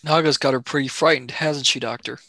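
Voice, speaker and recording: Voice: medium pitch, fairly even intonation, fairly quick, vocal fry, bright, forceful tone, no room sound. An actor plays a young male companion in a space radio drama. The delivery is casual, brash and sarcastic. The sound is close and dry. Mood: wry. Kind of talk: radio play acting.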